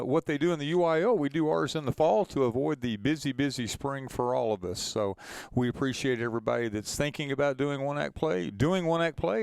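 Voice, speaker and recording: A man's voice: mid-range voice, clear, resonant tone, dry recording. A man giving an executive director's welcome remarks in an online webinar, upbeat and appreciative.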